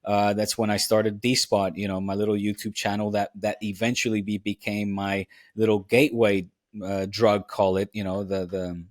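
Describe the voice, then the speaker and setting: A man's voice: lowish voice, flat delivery, moderate pace, no room sound, studio quality. A man from the Netherlands, a former TV presenter, sharing memories as a podcast guest in an earnest, reflective way with frequent fillers. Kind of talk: casual podcast conversation.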